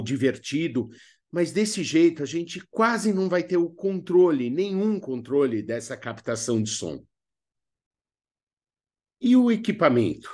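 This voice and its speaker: A man's voice: mid-range voice, darkish tone. A man with a Brazilian accent presenting an instructional lesson in a didactic way, as a workshop teacher. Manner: didactic, asks rhetorical questions and answers them. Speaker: radio theatre workshop instructor.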